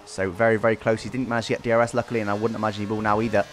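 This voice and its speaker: A man's voice: on the low side, little pitch movement, rapid-fire, a little hiss or hum. A man giving casual, explanatory commentary over his own racing game race, recapping as he goes.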